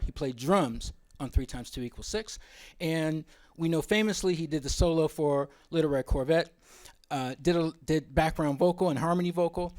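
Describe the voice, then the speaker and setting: A man presenting at a symposium in an informal, opinionated way, often wandering into asides. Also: a little high.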